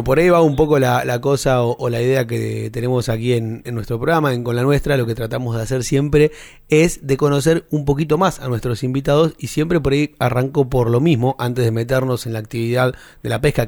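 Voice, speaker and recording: A man with an Argentine Spanish accent hosting a radio interview, chatty and warm, often repeating words as he goes. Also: medium pitch, vocal fry, dry recording, studio quality. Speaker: radio host.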